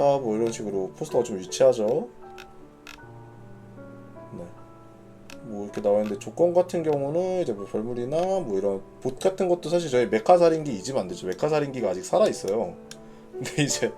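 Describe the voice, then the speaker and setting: A man's voice: mid-range voice, dark tone. A man hosting an informal live announcement for a gaming community, reading notes and explaining them in a conversational way while thinking aloud. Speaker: gaming clan leader hosting announcement broadcast.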